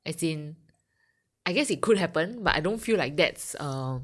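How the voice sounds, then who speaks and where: A woman's voice: deep voice, expressive, normal speed. A woman of thirty, co-hosting a podcast, musing and questioning as she trails off. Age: 30s. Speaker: podcast co-host. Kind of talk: casual chat.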